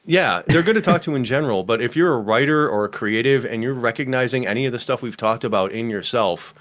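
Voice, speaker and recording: A man's voice: mid-range voice, fast talker, fairly bright tone. A man hosting a podcast in casual chat, rambling and self-deprecating, with many restarts. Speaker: podcast host.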